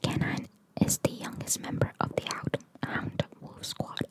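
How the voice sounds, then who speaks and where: Woman doing asmr, all breathy whisper, reading stuff out n commenting casually as she goes. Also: unhurried. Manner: informal, chatty, reacting to what is read. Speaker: ASMR host reading video game trivia.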